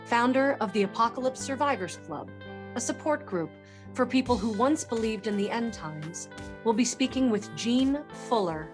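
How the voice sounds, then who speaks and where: Solemn female radio-drama host, played by an actress, measured storytelling delivery, clear music bed underneath. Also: mid-range voice, close to an everyday voice. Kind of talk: scripted radio show introduction.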